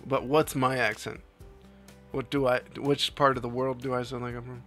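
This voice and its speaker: A man's voice: medium pitch, little pitch movement, moderate pace, sharp tone, soft background music. A young man from New Jersey hosting a live stream, chatting casually with his chat, rambling and laughing often. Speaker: livestream host.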